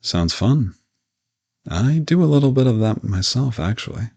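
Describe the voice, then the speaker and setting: A man playing a gentle, courteous old vampire in an intimate audio roleplay, reassuring and lightly teasing as he speaks to the listener. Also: medium pitch, expressive, normal speed, vocal fry. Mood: conversational, friendly. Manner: soft-spoken reassurance, politely formal with teasing asides.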